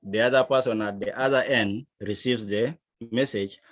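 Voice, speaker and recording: A man from South Sudan with a South Sudanese accent, teaching a lesson in a deliberate, emphatic manner. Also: lowish voice, steady tone. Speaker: instructor. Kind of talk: digital literacy lesson, explaining to learners.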